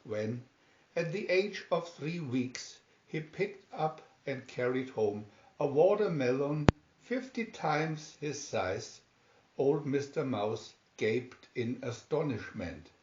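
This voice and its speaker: A man reading a children's story aloud in a deliberate, dramatic storytelling manner. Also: mid-range voice, lively intonation, a little mellow, a little hiss or hum. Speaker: storybook reader. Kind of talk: scripted children's story narration, read aloud. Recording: roomy, slightly dull home recording.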